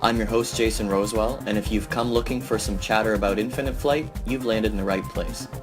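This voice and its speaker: A man hosting a podcast, giving a measured, announcer-like welcome and then asking friendly interview questions. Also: fairly low pitch, over music. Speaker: podcast host. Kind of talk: scripted intro then casual interview.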